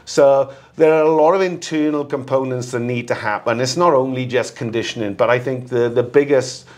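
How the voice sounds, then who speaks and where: A man giving rugby analysis as a guest, halting and repeating words as he thinks aloud. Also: mid-range voice, steady tone, darkish tone. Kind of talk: casual rugby discussion.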